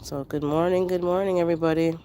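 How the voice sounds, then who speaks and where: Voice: medium pitch, steady tone, fast, dark tone, a little hiss or hum. A stuffy, nasal-sounding gardener talks through a phone camera in the backyard. The chat is loose and rambling, wandering from topic to topic in an easygoing way. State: congested, nasal from a head cold. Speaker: gardening livestream host. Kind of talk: casual live chat with viewers.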